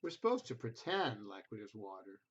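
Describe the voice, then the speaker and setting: A man's voice: lowish voice, big pitch swings, normal speed, husky. A man reading as a bossy big sister, muffled recording. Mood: matter-of-fact. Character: bossy, self-doubting older sister, a young girl.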